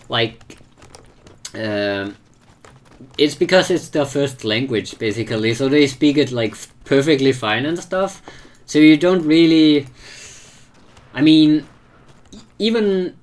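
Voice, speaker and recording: Hesitant streamer with a non-native accent. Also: mid-range voice. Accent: non-native English accent. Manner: hesitant, searching for words, repeats words often. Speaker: live streamer. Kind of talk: casual chat while playing a game.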